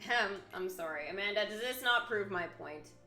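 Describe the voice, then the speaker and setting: A woman's voice: mid-range voice, animated, normal speed, sharp tone. A woman streaming a video game, switching between live commentary and reading story text aloud. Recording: roomy echo, game music behind. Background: video game music.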